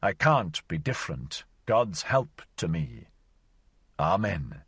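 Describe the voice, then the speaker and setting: A wry, theatrical wandering shadow, read by a man in an audiobook. The voice is low and husky and moves through a wide range of pitch. The recital is mock-solemn and declamatory, set against a dry, close sound. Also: big pitch swings, slow pace, fairly bright tone, dry recording, heavily acted. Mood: declaiming. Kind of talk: audiobook reading of archaic verse.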